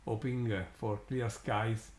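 A man with an Italian accent, his first language Italian, hosting a live astronomy stream and commenting slowly with many pauses and fillers, sounding amazed. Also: lowish voice, little pitch movement, a little mellow, roomy sound. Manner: slow, halting, many fillers, awed.

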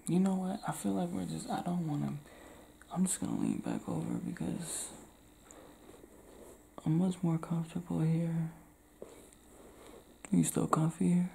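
A young man from Cleveland doing an intimate ASMR roleplay, talking softly and often whispering, recorded close in a small indoor room. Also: a little high, wildly swooping pitch, a little slow, vocal fry, pauses often, a little hiss or hum. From Cleveland, Ohio.

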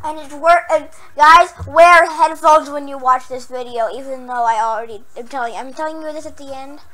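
A young woman playing a horror game, hoarse from losing her voice, jumpy and prone to yelps and squeals when startled, then chatty again. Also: high-pitched, normal speed, pushed and bright, rough recording. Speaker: gaming channel host. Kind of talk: Minecraft horror map let's play.

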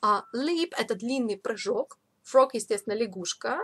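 High-voiced woman teaching English vocabulary. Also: lively intonation, moderate pace, a bit bright, breathy edge. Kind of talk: explanatory vocabulary lesson. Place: voice-over of a screen recording.

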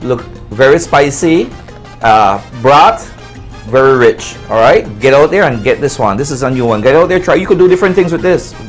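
A man's voice: mid-range voice, lively intonation, normal speed. Guy, middle aged tv cook, very up and down voice, jokey, some music behind. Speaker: cook on a cooking show. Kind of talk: casual cooking chat. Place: TV studio kitchen set.

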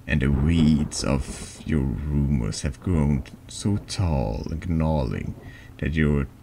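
A man reading a horror text game aloud as a dramatic narration, slightly halting. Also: rumbling low, vocal fry. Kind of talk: slow dramatic horror narration, ASMR-lite. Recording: noticeable background noise.

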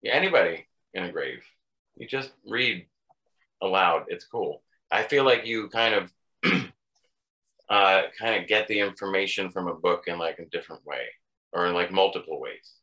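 A man's voice: deep voice, normal speed, bright, forceful tone. A man on a video call, rambling in an offhand, dryly funny way. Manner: rambling, offhand, dry humor. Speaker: band member. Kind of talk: casual chat.

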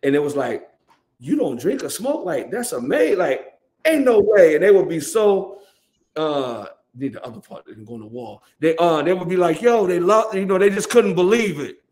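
A man's voice: high voice, very animated, normal speed, a little mellow. A man in his forties with an American accent, a rapper guest chatting casually in slang and repeating phrases as he tells stories. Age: 40s. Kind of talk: casual interview chat. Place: dim large room indoors, on a video call.

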